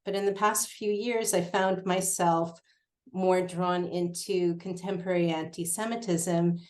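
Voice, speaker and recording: A woman's voice: fairly low pitch, fairly even intonation, measured pace, a little mellow. Friendly woman scholar from Montreal. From Montreal, Canada. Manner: friendly, with frequent "um" fillers. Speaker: cultural studies scholar, panel speaker. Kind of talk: academic talk.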